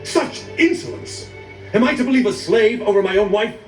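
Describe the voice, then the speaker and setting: A man voicing an outraged, commanding slave master in an animated film, forceful and imperious. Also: high voice, normal speed. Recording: echoey, distant film audio with music.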